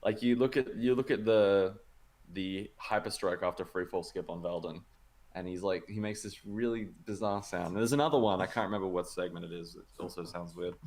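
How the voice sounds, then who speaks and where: Very deep-voiced man rambling casually. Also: fairly quick, a little mellow. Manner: rambling, filler-heavy with many likes, laughs often. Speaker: guest, speedrun video creator. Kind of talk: casual chat explaining his gameplay.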